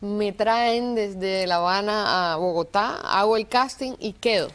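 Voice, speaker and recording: A woman from Cuba, an actress, chatting in an interview about her career, thoughtful and unhurried, with many small pauses. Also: medium pitch. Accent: neutral Colombian-trained Spanish with Cuban traces. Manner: warm, reflective, many fillers and pauses. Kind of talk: casual interview talk.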